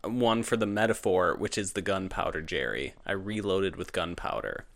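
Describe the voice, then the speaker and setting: A man's voice: low-pitched, normal speed, creaky, a bit bright. A man from the United States co-hosting a podcast about comics, easygoing and agreeable, swearing casually. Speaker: podcast co-host. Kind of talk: casual chat.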